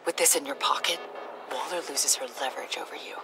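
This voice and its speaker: An actress playing an earnest, troubled female government agent in a video game, speaking in a hushed, hesitant manner. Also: rumbling low, fairly even intonation, fast, music in the background. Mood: resigned. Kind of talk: naturalistic video game acting. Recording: clear ambient background noise.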